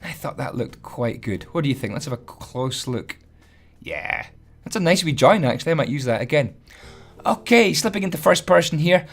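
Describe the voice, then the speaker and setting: Hoarse man, fairly high voice, chatty and self-deprecating; casual tutorial host over faint music. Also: very animated, moderate pace. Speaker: Minecraft building tutorial host.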